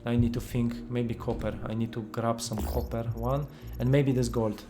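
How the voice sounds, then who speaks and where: A man with a Polish accent, a native Polish speaker, casually commenting on a game he is playing while thinking aloud with many fillers and pauses. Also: mid-range voice, flat delivery, music in the background. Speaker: game developer streaming gameplay.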